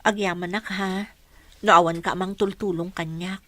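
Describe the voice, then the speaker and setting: A woman's voice: on the low side, steady tone, moderate pace, a bit bright, dry recording, lightly acted. A woman playing an anxious, grateful young wife in a radio drama, earnest and emotive, with an Ilocano accent. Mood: worried, grateful.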